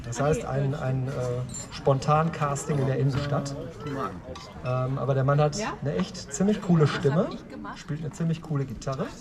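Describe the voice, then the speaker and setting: A man's voice: medium pitch, normal speed. A man hosting a neighbourhood party, speaking casually with many fillers. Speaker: event host. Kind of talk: stage announcement. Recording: crowd noise, distant outdoor mic.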